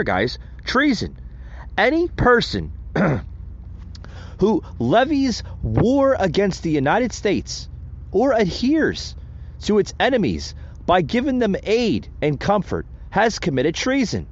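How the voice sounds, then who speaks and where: A man's voice: on the high side, muffled recording. A man hosting a podcast, giving impassioned solo political commentary and often calling out to his listeners as folks. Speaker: podcast host.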